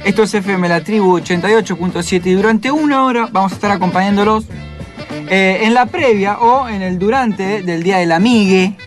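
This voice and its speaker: A man's voice: sits high, a little fast. A man with a Rioplatense Argentine accent hosting a casual radio chat in a playful way, often tagging phrases with a questioning "eh". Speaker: radio show host. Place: radio studio. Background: loud music bed.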